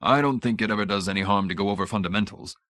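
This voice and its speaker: A man's voice: lowish voice, racing, a bit bright, no echo, clean studio sound, natural voice. A man voicing a fussy, pompous old research director in an audiobook, idealistic and grand in manner but timid. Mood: pompous, earnest.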